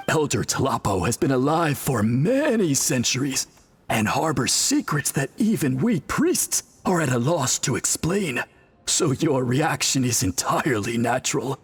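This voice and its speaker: A dutiful priest in an anime game, very husky and on the high side. He explains things formally and courteously, with animated swings in pitch. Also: heavily acted.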